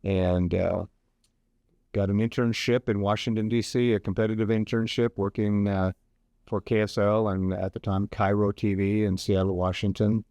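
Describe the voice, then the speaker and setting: A man's voice: lowish voice, level tone, normal speed, dark tone, no echo. A man in his sixties, a professor from Hawaii, talking through his career on a radio interview with many fillers and restarts. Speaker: professor and guest.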